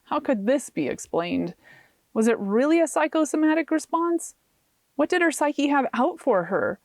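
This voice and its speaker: A woman author reading her own fiction aloud as an expressive audiobook narrator. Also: high voice, normal speed, no echo.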